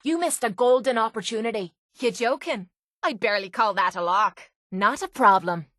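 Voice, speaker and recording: A woman's voice: on the high side, expressive, moderate pace, fairly bright tone, no room sound, studio quality. A woman playing a tough, cocky young brawler in a video game, with an Irish accent and a blunt, sardonic manner. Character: tough, brash, foul-mouthed young woman brawler and mercenary. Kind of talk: video game companion dialogue.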